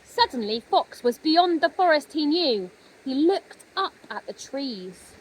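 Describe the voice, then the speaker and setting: A woman narrating a children's story expressively, with gentle dramatic pauses. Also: sits high, very animated, rough recording. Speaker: storybook reader.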